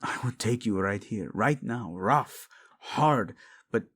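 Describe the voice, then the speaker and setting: A man's voice: on the low side, animated, slow pace, fairly bright tone, slightly rough, heavily acted. A man playing a devoted, protective prince wracked with guilt, pleading tenderly and intensely in a romantic audio roleplay, often sinking toward a whisper. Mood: longing, conflicted.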